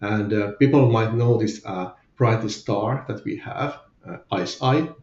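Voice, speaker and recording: A man's voice: fairly low pitch, unhurried, a little mellow, roomy sound, highs cut off. A man with a Finnish accent, a guest in a candid podcast interview, speaking hesitantly with many fillers. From Finland. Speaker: guest, company CFO.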